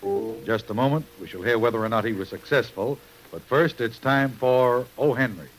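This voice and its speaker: Male mystery host: medium pitch, solemn dramatic storytelling with music underneath, vintage radio. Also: normal speed, poor audio. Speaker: narrator and host of detective drama. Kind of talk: dramatic scripted 1950s radio narration.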